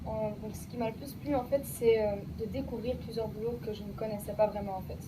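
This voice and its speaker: A woman's voice: a little high, fairly even intonation, fast, recorded from a distance, noisy background. A young woman, a former student, speaking casually in a radio interview with many fillers about what she learned. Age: young adult. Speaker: alumna, guest.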